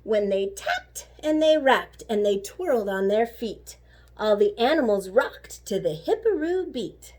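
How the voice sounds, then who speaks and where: A woman reading a children's story aloud like a teacher, animated and rhythmic with the rhymes. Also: fairly high pitch, unhurried. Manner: animated, rhythmic rhyming delivery.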